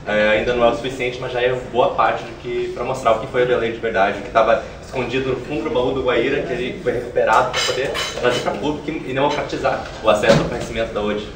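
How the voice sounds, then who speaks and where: A young man answers an interview at a quick pace. His phrasing is informal and colloquial, as if thinking aloud. The sound is echoey and off-mic, with slight noise. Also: medium pitch, steady tone. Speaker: theatre artist being interviewed. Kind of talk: animated conversational interview answers. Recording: roomy, distant mic, faint noise.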